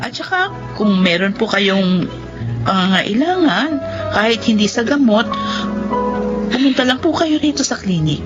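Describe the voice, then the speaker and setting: A woman playing a compassionate, generous doctor in a Filipino radio drama, gentle and reassuring in manner. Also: a little high, sing-song, unhurried, pauses often, music in the background. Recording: dull lo-fi radio drama audio.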